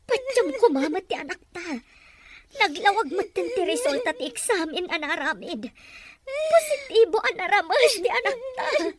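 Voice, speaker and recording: An actress playing a middle-aged Ilocano-accented mother, protective and worried, gentle but insistent, in a melodramatic radio drama. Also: very high-pitched, very animated, normal speed. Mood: consoling, sorrowful.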